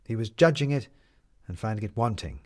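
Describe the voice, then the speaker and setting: A man narrating a scripted story in a measured, lyrical storytelling manner. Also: lowish voice, lively intonation, a little mellow.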